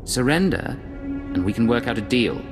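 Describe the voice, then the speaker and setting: An actor playing a cool, controlled imperial spy who speaks curtly. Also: male voice, medium pitch, lively intonation, normal speed, long reverb tail, over music, close to an everyday voice. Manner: curt, controlled. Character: cool male imperial spy, calm and curt. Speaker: agent.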